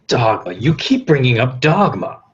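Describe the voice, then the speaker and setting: A man's voice: mid-range voice, sing-song, normal speed, lightly acted. A man playing a scornful, threatening medieval trial interrogator in a dramatic script read. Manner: scornful, forceful. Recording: dull, lo-fi video call audio.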